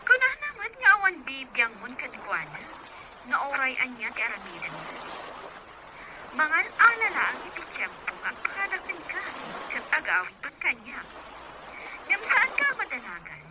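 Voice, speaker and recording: A woman's voice: high-pitched, wildly swooping pitch, normal speed, heavy background noise, exaggerated voice. A woman playing a brazen, taunting mistress in an Ilocano radio drama, defiant and defensive.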